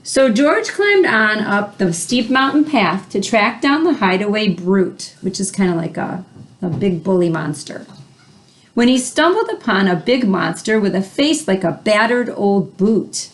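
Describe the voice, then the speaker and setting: A woman's voice: mid-range voice, expressive, measured pace, a little hiss or hum. A woman reading a children's picture book aloud, adding chatty asides and simple explanations for young listeners. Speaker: read-aloud host.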